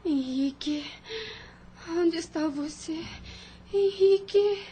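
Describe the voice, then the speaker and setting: Woman acting a very high-voiced, emotive young wife. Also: takes it slowly, dark tone, slight background noise. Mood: delirious, weak. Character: naive, joyful young wife expecting a baby. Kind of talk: audio drama acting.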